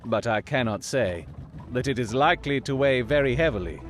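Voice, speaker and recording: An actor playing a brilliant Victorian detective in an audio drama, coolly analytical, with precise, theatrical, formal delivery. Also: male voice, medium pitch, lively intonation, a little fast, faint music behind, lightly acted. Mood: grave, deliberate.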